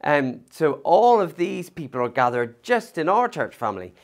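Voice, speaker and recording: Lively-voiced man giving a sermon. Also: fairly high pitch. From not from Liverpool. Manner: conversational, thoughtful, with pauses and fillers. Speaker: pastor. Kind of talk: Christmas sermon.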